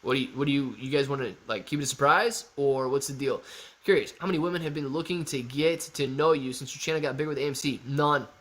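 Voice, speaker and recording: A man in his thirties hosting a live stock market Q&A, reading viewer chat with playful, animated banter. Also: mid-range voice, quick pace. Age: 30s.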